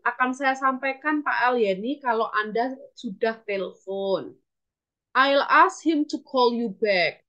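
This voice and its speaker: High-voiced Indonesian woman lecturer. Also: a bit bright, dull audio. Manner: instructive, repeats and translates phrases. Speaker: English lecturer. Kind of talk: online class lesson with example phrases. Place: online class call.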